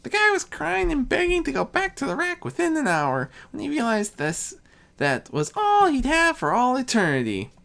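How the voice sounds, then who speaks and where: A woman acts out a mischievous young chaos spirit in a fantasy story. His voice sits high and swoops wildly as he grumbles and brags with offhand glee. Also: wildly swooping pitch, moderate pace, gravelly, a bit bright, heavily acted. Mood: smug. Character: mischievous boastful young male chaos spirit, son of a prince. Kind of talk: fan fiction story reading.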